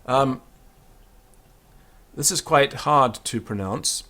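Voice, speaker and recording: A man giving an unscripted pronunciation lesson, explaining with fillers and then slowly sounding out words to demonstrate them. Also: mid-range voice, pushed and bright. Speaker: Latin teacher.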